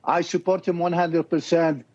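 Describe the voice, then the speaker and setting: A man's voice: fairly high pitch, flat delivery, normal speed, a little mellow. A man with an Iraqi accent, speaking earnestly and passionately as an interview guest in simple, broken phrasing. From Iraq. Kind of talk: video interview. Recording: dull lo-fi recording.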